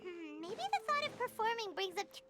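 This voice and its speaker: A woman voicing a tiny, childlike, talkative female sprite in a video game, with a squeaky, expressive delivery. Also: fairly quick, soft background music, full character voice. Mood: pleading. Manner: chirpy, expressive, refers to self in third person.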